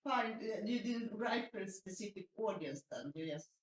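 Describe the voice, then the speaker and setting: A woman's voice: medium pitch, animated, normal speed. Hesitant woman in an echoey, noisy hall. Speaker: audience member asking a question. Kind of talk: spontaneous question at a live event. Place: indoor convention event room. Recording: echoey hall with background noise.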